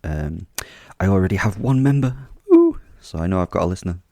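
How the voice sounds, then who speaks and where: A man's voice: low-pitched, animated, brisk, warm tone, no echo, clean studio sound. A man hosting his podcast and telling a first-person horror story in an offhand, chatty way, swearing casually and joking darkly. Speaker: podcast host and narrator.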